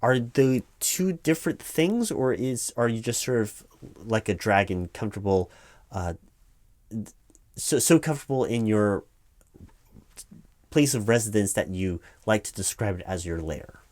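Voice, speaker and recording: Guy acting as a flustered office hiring manager, lowish voice, polite but stammery, comedy interview roleplay, keyboard clacking. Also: lively intonation, measured pace, a little mellow. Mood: puzzled, wary. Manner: hesitant, stammering, distracted, politely evasive. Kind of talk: one-sided comedic job interview audio roleplay. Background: computer keyboard typing, tapping.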